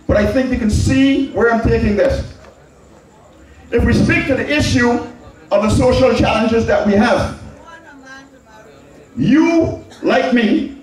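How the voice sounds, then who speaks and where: A man with an Anguillan Caribbean accent giving a political public address in an emphatic, deliberate manner, repeating phrases for stress. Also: very high-pitched. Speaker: public speaker addressing a community. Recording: very echoey public address, distant mic.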